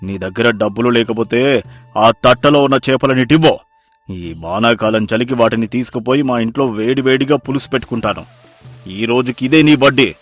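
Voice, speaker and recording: A man's voice: mid-range voice, moderate pace, no room sound, lightly acted. A man playing a cruel, swaggering rich village moneylender in a moral story, bullying and mocking as he threatens. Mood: callous, threatening. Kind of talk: acted moral-story dialogue. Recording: music behind the voice.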